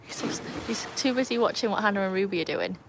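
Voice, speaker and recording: A woman's voice: mid-range voice, quick pace, a little hiss or hum. A woman from England with an English accent, chatting casually through a livestream by her backyard pool and calling out to her dogs. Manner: chatty, laughs often, calls to her dogs. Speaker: livestream host.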